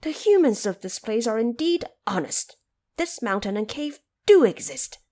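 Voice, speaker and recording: A woman's voice: on the high side, big pitch swings, moderate pace, mellow, voice pushed up. A woman voicing an eager male monkey king in an audio drama, speaking in earnest, formally polite exclamations. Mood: delighted.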